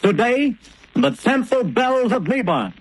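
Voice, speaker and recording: A male announcer in a 1930s radio broadcast, brisk and emphatic. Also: very high voice, expressive, poor audio.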